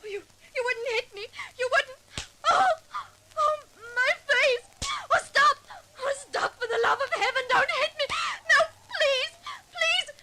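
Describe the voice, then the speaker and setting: A woman's voice: extremely high pitch, a little slow, bright, forceful tone, full character voice. An actress playing a terrified young woman who pleads breathlessly for her life in 1940s radio drama style. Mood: screaming terror. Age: young adult. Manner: breathless, shrieking pleas.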